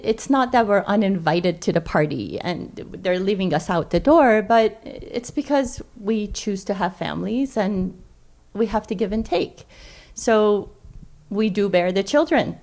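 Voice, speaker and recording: A woman's voice: medium pitch, gravelly, darkish tone. A middle-aged woman speaking as a guest in a conversational interview, chatty and explanatory, repeating phrases. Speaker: dentist, podcast guest. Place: dining room at home.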